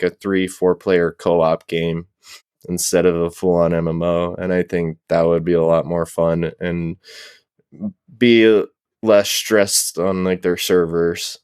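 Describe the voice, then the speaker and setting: A man with a deep, smooth voice chats as a guest on a gaming podcast. He explains his opinions in a measured, fairly flat delivery. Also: no echo, clean studio sound. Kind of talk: casual explanatory chat.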